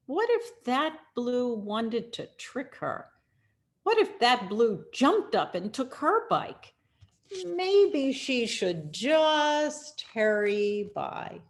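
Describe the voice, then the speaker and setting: A woman reading a children's picture book aloud in an expressive, deliberate storytelling manner with dramatic pauses. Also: fairly high pitch. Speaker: storyteller, rabbi.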